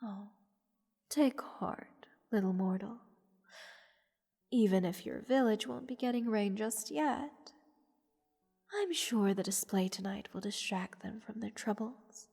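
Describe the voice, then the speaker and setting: A woman voicing a playful, haughty goddess in an intimate fantasy audio roleplay, teasing and condescending toward a captive mortal in measured, theatrical speech. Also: medium pitch, gravelly, mellow, husky, full character voice. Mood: gleeful, condescending. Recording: long reverb with music behind. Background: orchestral music.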